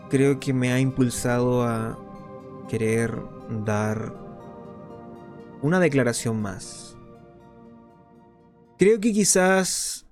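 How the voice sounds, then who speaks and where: A man playing a conspiratorial, musing pirate radio host in a radio drama, delivering theatrical monologues full of dramatic pauses. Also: medium pitch, darkish tone. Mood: hesitant, pondering.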